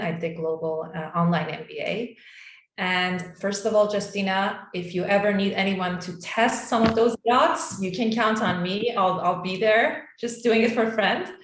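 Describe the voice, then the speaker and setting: A woman speaking informally as a guest on an online panel, introducing her professional background. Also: on the low side. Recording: roomy, echoey call audio.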